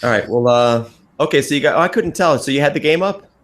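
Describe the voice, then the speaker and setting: A man co-hosting a podcast, joking around with halting, restarting speech. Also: a little high, lively intonation. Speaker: podcast co-host. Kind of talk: casual podcast chat.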